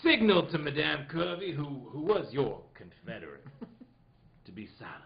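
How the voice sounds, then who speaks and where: Man playing a smug, lively-voiced theatrical detective. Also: a little high, gravelly, highs cut off. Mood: expository. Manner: hammy, halting, breaks into ad-libbed asides. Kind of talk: loose comedic live reading of classic radio mystery.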